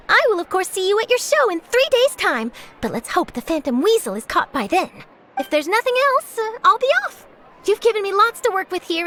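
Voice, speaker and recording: A woman's voice: very high voice, fairly bright tone, slight background noise, heavily acted. A woman playing an eager, polite young female journalist in an anime-style video game, speaking articulately and with enthusiasm.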